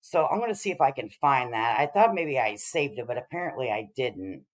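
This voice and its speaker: A woman's voice: very deep voice, lively intonation, a little fast. A woman hosting a livestream, giving casual, emphatic and opinionated commentary on a case as she talks to her viewers.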